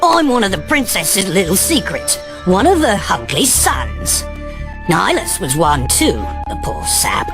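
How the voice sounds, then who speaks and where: A man playing a sly, self-serving creature prince in a video game, a chummy, smooth-talking schemer. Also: high voice, wildly swooping pitch, measured pace, exaggerated voice. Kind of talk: cartoon video game acting. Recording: music behind.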